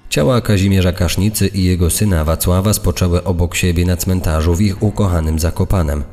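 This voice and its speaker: A man's voice: low-pitched, fairly even intonation, fairly quick, warm tone, faint music behind. A man reading out accounts in a scripted, narrated style. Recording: long hall-like reverb.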